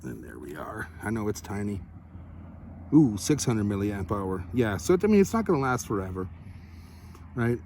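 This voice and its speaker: A man's voice: fairly low pitch, sing-song, normal speed, long pauses, a little mellow, slight background noise. A man giving a casual, unscripted product review to viewers in a laid-back, rambling, folksy way.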